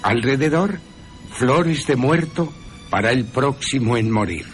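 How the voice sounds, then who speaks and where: A man's voice: mid-range voice, expressive, a little slow, faint music behind, voice pushed up, natural voice. A man voicing a romantic young woman in a lyrical, wistful manner, with an accent from Spain. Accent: Spanish (Spain). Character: romantic, dreamy young woman reciting poetry.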